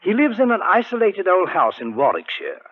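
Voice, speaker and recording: An actor playing an anxious, irritable society secretary, agitated and emphatic, in a 1940s radio drama. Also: male voice, sits high, sing-song, normal speed. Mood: uneasy, ominous. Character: anxious, irritable British science society secretary, suspicious man. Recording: thin narrow-band 1940s radio recording.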